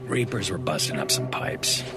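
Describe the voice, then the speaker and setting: An actor playing a weary, sardonic, hunted man with electric powers in a video game, speaking in a terse deadpan. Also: sits low, normal speed. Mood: terse, dry. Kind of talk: scripted game narration and dialogue. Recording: loud game music behind.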